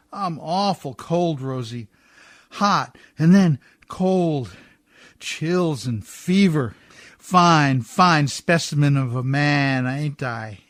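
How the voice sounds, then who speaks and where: An actor playing a rough, warm-hearted, weary riverboat skipper with folksy, ungrammatical speech in a radio play. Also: male voice, on the high side, drawn-out words, no echo, clean studio sound. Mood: feverish, resigned.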